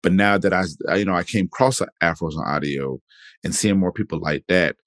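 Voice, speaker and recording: A man's voice: low-pitched, brisk, a bit bright, dry recording, clean studio sound. A man hosting a podcast interview, talking in a laid-back, casual way and dropping plenty of "man" and "you know". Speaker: podcast host.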